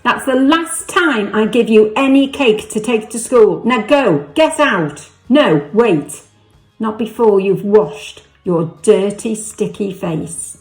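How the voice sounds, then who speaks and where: A woman's voice: mid-range voice, lively intonation, takes it slowly, darkish tone, reverberant, faint music behind, rough recording. A woman voicing a cross, incredulous mother who scolds her child in a performed poem. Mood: scolding. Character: cross mother confronting her child over eaten cake.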